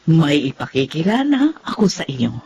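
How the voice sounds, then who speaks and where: An actress in a Filipino radio drama playing a gentle, motherly woman who talks in a soothing, expressive way. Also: sits low, moderate pace. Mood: gentle, reassuring.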